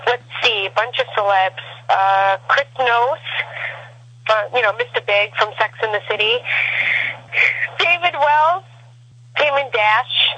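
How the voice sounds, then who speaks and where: A woman in her thirties chatting with a friend on a show, teasing and quick to laugh. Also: a little high, sharp tone, husky, muffled recording. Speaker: co-host friend. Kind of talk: casual chat.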